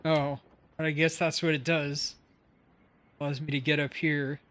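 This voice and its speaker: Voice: medium pitch, flat delivery, moderate pace, fairly bright tone. Game streamer, casual live commentary, offhand, thinking aloud, faint background noise.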